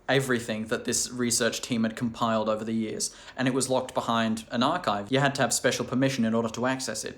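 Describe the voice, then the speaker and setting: A man hosting a language channel, talking casually and enthusiastically to camera with lots of "like" and "um" fillers. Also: mid-range voice. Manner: chatty, rambling with many "like" and "um" fillers; enthusiastic and curious.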